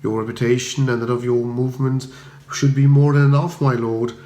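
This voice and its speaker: A man acting an English valet, measured, courteous, deferential, unflappable, formal, roomy recording, comic audiobook. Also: medium pitch, level tone, warm tone, a little hiss or hum, close to an everyday voice. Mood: composed, deferential.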